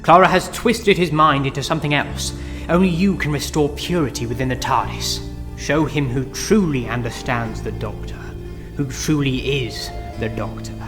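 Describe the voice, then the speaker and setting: A man's voice: medium pitch, normal speed, lightly acted. An actor playing an insidious male tempter, like an inner voice of delusion, persuasive and insinuating in an audio drama. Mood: sinister coaxing. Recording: long echoing reverb, music behind.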